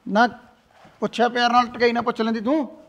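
A man's voice: very high voice, little pitch movement, a little fast, fairly bright tone. A man playing a stern, dismissive father in a family quarrel. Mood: gruff. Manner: gruff, dismissive. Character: gruff stern father of the husband.

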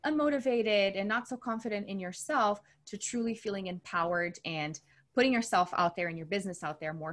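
A woman's voice: lowish voice, a bit bright. A woman in her thirties from Brazil, answering interview questions as a coach in an earnest, enthusiastic, conversational way. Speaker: coach and podcast host being interviewed.

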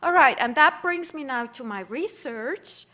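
A woman's voice: high-pitched, expressive, unhurried, sharp tone. A middle-aged woman from Switzerland, giving a keynote lecture at a podium, explaining in a friendly way with frequent restarts. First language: Swiss German. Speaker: keynote speaker. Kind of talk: conference lecture. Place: wood-panelled lecture hall, at a podium. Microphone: podium microphone.

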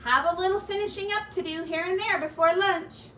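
A woman's voice: extremely high pitch, fairly even intonation, brisk, bright, forceful tone, pitched above the performer's own voice. A middle-aged woman voicing a cheerful, pushy, oblivious male pack rat in a storybook, with bouncy exclamations. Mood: breezy, cheerful. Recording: roomy, distant camera mic, faint noise.

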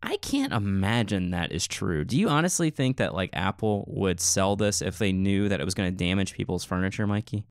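Low-voiced man co-hosting a podcast, close and dry. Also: lively intonation, a little fast, few pauses, dry recording. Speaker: podcast co-host. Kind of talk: casual podcast chat and commentary.

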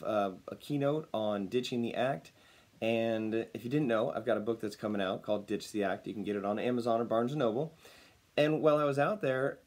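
A man in his thirties, slightly raspy as he recovers from losing his voice, talking upbeat and smiling to a webcam at home. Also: medium pitch, a little mellow. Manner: upbeat, smiling, chuckles often. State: recovering from lost voice. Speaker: personal branding speaker. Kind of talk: casual talk to camera. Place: home room, indoors. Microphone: webcam at desk distance.

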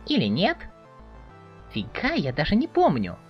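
A woman's voice: fairly low pitch, a little slow, muffled recording, soft background music. A woman playing an eager, naive teenage boy, chatty and inquisitive, in a dramatic webcomic reading. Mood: cheerful. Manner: excitable, inquisitive, expressive.

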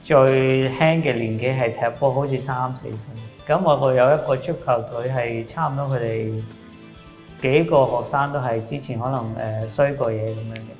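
A man from Hong Kong, an activity coordinator, speaking plainly and relaxed in a documentary interview, dropping in English words. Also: medium pitch, moderate pace, faint music behind.

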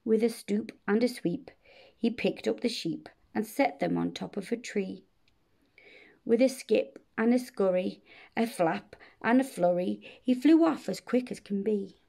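Slow, mellow woman reading a storybook. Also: mid-range voice, creaky. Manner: measured, expressive bedtime reading. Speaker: storybook reader. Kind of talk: rhyming children's story narration.

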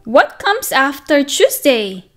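A woman teaching a circle-time lesson to toddlers, speaking in a slow, sing-song, encouraging way and gasping with praise. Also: sits high, wildly swooping pitch, fairly bright tone, faint music behind. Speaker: preschool teacher.

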